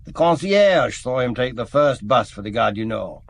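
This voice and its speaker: An actor playing a matter-of-fact male local police officer in a radio drama, reporting in a brisk, plain manner. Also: medium pitch, very animated, no echo.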